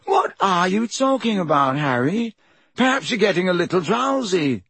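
A man's voice: sits high, very animated, moderate pace, fairly bright tone, breathy edge, no echo, voice pushed up, full character voice. A man reading aloud, voicing a vain, self-admiring male celebrity wizard teacher in a grand, theatrical manner. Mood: gushing.

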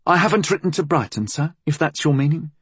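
A man's voice: a little high, expressive, fast, slightly rough, no room sound, pitched above the performer's own voice. A man voicing a nervous, deferential police inspector in an audiobook, hesitant and formally polite. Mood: eager, polite.

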